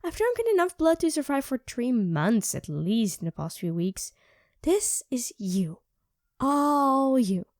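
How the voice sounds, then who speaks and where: A woman's voice: on the high side, very animated, a little slow, no echo, clean studio sound. Woman playing a snappy, whispering vampire. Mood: craving. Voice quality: drops into soft whispers. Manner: sharp, snappy and sarcastic. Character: irritable young female vampire craving blood. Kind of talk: ASMR roleplay.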